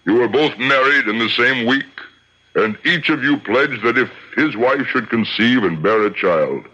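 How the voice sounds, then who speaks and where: Casting: stern religious judge and exorcist, slow measured declamation, bright forceful tone, radio play. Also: male voice, medium pitch, lively intonation. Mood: solemn. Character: solemn, commanding rabbi judge conducting a tribunal and exorcism. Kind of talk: theatrical radio drama acting. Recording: dull lo-fi old radio recording.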